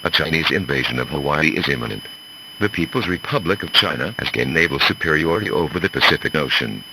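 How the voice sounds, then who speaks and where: A man's voice: extremely low pitch, animated, fast, bright, forceful tone, heavily acted. A man playing a grave government announcer, reading a formal, stern emergency message over a noisy radio-style broadcast.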